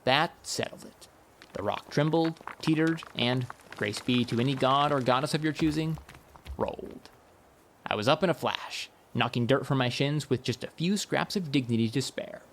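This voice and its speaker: A man narrating a first-person comic fantasy audiobook in a wry, expressive storytelling manner. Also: mid-range voice, normal speed, a little hiss or hum.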